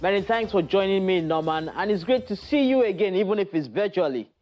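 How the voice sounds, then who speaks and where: A man's voice: high voice, animated, fairly quick. A man hosting an entertainment news interview in a polished, upbeat manner in a TV studio. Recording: slightly dull broadcast audio with faint music.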